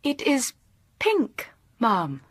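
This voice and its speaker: Reserved young english teacher, period drama, slightly high voice, careful n formal. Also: female voice, wildly swooping pitch, extremely slow, slightly rough, dry recording, full character voice. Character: reserved, plain-spoken young English teacher, dutiful and composed. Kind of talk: period radio drama acting.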